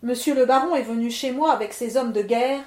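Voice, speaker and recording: A woman's voice: fairly high pitch, brisk, darkish tone, natural voice. A woman doing a pleading poor miller. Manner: pleading, tearful. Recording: roomy echo.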